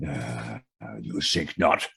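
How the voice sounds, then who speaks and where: An actor playing an aggrieved old widowed farmer with a German accent and a husky, halting delivery in a Western radio drama. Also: male voice, very deep voice, pushed and bright, full character voice. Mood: combative.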